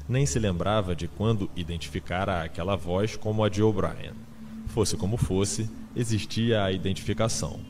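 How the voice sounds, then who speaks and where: A man's voice: deep voice, a little mellow. A man narrating a literary audiobook in a dramatized style. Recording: noisy ambient sound-effects bed. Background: ambient sound effects.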